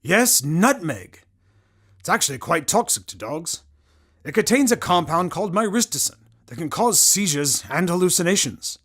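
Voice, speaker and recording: A man's voice: high voice, very animated, moderate pace, fairly bright tone, husky, exaggerated voice. A man playing a matter-of-fact, clinical medical examiner in an audio drama. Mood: intrigued, clinical. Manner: clinical, explanatory. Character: matter-of-fact male medical examiner reporting odd findings.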